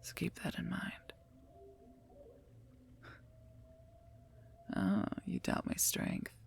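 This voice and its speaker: A woman playing a stern, protective werewolf knight in a whispered ASMR roleplay, speaking formally and softly in a breathy voice, gently scolding and earnest, close to the microphone. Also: lowish voice, level tone, a little slow, a little hiss or hum. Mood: firm, confident.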